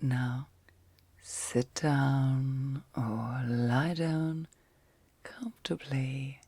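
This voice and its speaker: Slow, whispery woman guiding sleep meditation. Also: rumbling low, fairly even intonation, no echo. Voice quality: soft, breathy, often whispered. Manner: gentle, soothing, long pauses between short phrases. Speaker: guided meditation host. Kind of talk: ASMR guided sleep meditation.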